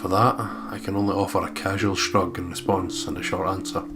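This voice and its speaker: Low-pitched man over guitar music. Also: flat delivery, brisk. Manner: sweary, joking. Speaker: let's play co-host and narrator. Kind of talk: casual banter and read-aloud visual novel narration. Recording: background guitar music under the voice.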